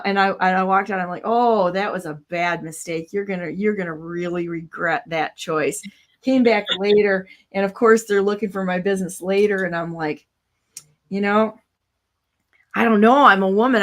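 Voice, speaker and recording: A woman's voice: mid-range voice, fairly bright tone. Sixty-something lady host, blunt, rambles, lots of you know and like. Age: 60s. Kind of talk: casual chat.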